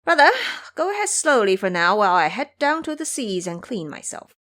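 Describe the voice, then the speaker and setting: A proud, hot-tempered monkey warrior, acted out by a woman for an audio drama. The delivery is boastful and declamatory, with pitch that swings widely from line to line. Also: big pitch swings, fairly quick, fairly bright tone. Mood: commanding, reassuring. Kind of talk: audio drama character acting.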